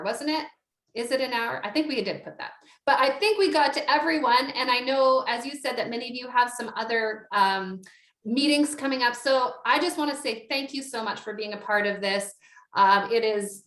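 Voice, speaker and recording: A woman's voice: fairly high pitch, fairly bright tone. Upbeat Canadian woman hosting a video call. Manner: upbeat, chatty, teasing and encouraging. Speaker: event host. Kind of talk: hosting a virtual networking event. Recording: echoey room on a video call.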